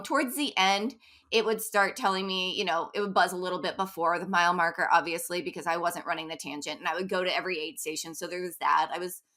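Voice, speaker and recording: Bright-voiced woman running coach. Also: fairly low pitch. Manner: chatty, upbeat, quick asides with laughs. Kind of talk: casual interview chat.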